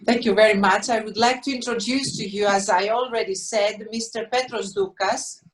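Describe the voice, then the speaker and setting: A woman's voice: mid-range voice, fairly even intonation, moderate pace. A woman moderating an online gala, politely introducing the next speaker over a video call. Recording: noisy video call audio.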